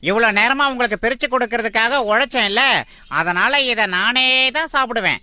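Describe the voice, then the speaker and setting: A woman's voice: fairly high pitch, brisk, bright, forceful tone, faint music behind. A woman voicing a sly, greedy monkey in a children's cartoon, wheedling and crafty while posing as a fair helper. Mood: smug. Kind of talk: children's animated story acting.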